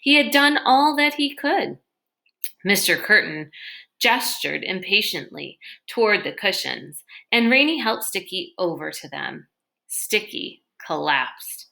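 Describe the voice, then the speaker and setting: A woman reading a story aloud in an expressive narrating style. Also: medium pitch, slow, creaky. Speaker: storybook reader.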